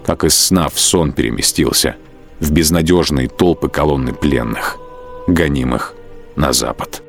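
A man giving a measured, dramatic literary narration of a war story for an audio drama. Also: very deep voice, creaky, soft background music.

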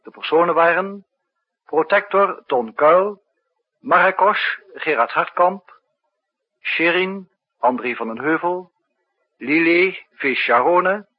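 A man's voice: a little high, expressive, takes it slowly, long pauses, husky. A man reading a scripted closing announcement for a 1960s radio drama, as its announcer. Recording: dull, muffled 1960s radio recording.

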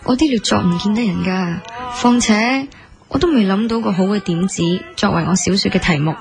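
女演員扮演講香港粵語嘅斯文後生女學生侍應，背景有音樂. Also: female voice, medium pitch, fairly quick, gravelly, lightly acted. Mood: modest, shy. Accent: Hong Kong Cantonese. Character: bright, modest young student waitress who writes stories. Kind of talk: radio drama acting. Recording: music bed under the voice.

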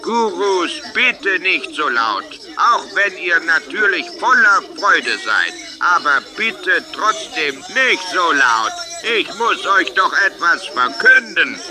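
A man's voice: high voice, sing-song, slow pace, hardly any pauses, full character voice. A man playing a pompous, exasperated guru cult leader, declaiming a speech in an improvised comedy radio play. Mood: exasperated, proclaiming. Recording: noisy crowd behind homemade radio play.

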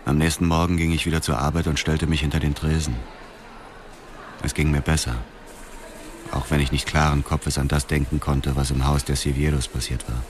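An actor playing a cold, vengeful widower who narrates his brutal deeds in a detached, measured crime radio drama. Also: rumbling low, level tone, slight background noise. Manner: detached, measured, matter-of-fact. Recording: music under the voice.

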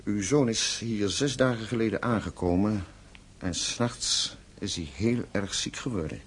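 An actor playing a courteous, solemn French innkeeper in a radio play, polite and hesitant, with a husky voice. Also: male voice, on the low side, unhurried. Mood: grave, reluctant. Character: courteous, grave French innkeeper breaking bad news.

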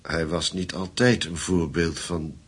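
An actor playing a grave German war veteran and confidant in a radio drama, speaking in a weighty, probing way. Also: male voice, deep voice, fairly even intonation, unhurried, breathy edge, heavily acted. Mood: reluctant, sombre.

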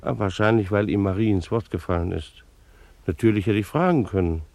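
A man's voice: on the low side, fairly quick, a little mellow, dry recording, close to an everyday voice. An actor in a German radio play, playing a retired bailiff and beekeeper in his sixties, dutiful, fussy and wounded, with a formal, reflective manner. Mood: thoughtful. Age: 60s. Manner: formal, reflective, old-fashioned official's wording. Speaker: actor narrating in character.

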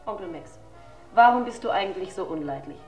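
A woman's voice: medium pitch, lively intonation, a little fast, darkish tone, natural voice. An actress playing a harried, firm young widowed mother in a radio crime drama, polite but guarded. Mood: exasperated, firm. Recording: roomy radio drama recording, faint music.

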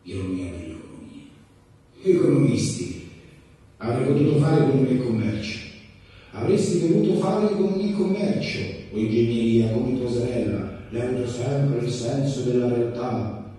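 An actor playing a disgruntled, sarcastic humanities graduate in an ironic stage monologue, colloquial and sweary. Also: male voice, medium pitch, lively intonation, normal speed, mellow, natural voice. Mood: exasperated, ironic. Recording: very echoey theatre, distant mic.

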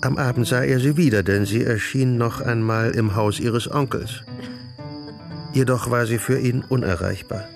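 A man narrating a literary radio drama in a measured storytelling manner, with a creaky voice. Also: medium pitch, over music.

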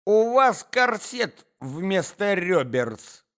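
Man doing a squealy offended provincial lady, very high, slow and smooth. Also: big pitch swings, fairly bright tone, exaggerated voice. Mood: shrill outrage. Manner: squealing, indignant. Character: shrill squealing middle-aged provincial gentlewoman.

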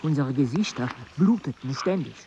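A man's voice: on the high side, expressive, moderate pace, background noise. An actor playing a dry, sardonic male botanist narrating an expedition in a radio drama. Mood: measured narration. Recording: dull sound over loud outdoor ambience.

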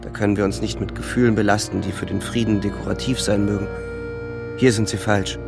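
An actor playing a weary young German frontline soldier, narrating the war in a grim, terse literary radio-drama style. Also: male voice, lowish voice, steady tone, a little fast, gravelly, music in the background. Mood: bleak, bitter.

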